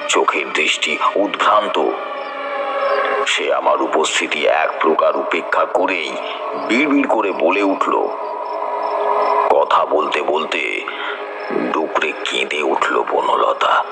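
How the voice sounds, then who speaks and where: A man narrating a horror audio story in the first person, with dramatic, suspenseful and deliberate delivery. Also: very deep voice, lively intonation, very noisy.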